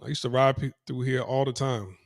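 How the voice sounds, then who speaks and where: A man's voice: medium pitch, steady tone, a little fast, vocal fry, no room sound, clean studio sound. A man talking casually to his viewers while moving through city streets, rambling with many restarts and repeated phrases. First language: English. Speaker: vlogger. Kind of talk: casual walking vlog, talking to camera.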